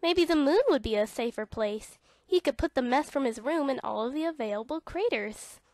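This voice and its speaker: A woman narrating a read-along children's storybook in an expressive, dramatic way. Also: sits high, moderate pace, no echo.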